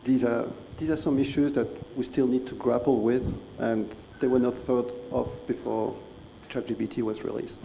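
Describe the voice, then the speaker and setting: Man, very dark mellow tone, gravelly fry, slow deliberate panelist, faint ambience. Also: medium pitch, fairly even intonation, a little hiss or hum, rough recording. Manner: measured, deliberate, pointed questions. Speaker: conference panelist. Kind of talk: conference discussion. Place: conference hall.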